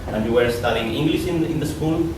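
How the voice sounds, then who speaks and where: A man's voice: on the high side, fairly quick. A man in his thirties with a Spanish accent, giving a casual conference talk, often repeating and restarting his words. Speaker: conference speaker. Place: lecture hall. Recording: echoey hall from far off, noisy.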